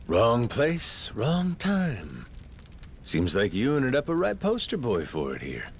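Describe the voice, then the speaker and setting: A man's voice: mid-range voice, huge pitch swings, slow, a little hiss or hum, heavily acted. A man playing a brash, playful Yakuza in a video game, with a cocky, profane, slangy drawl. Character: brash, playful, rough-talking Yakuza man who lost his memory. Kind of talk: video game cutscene acting.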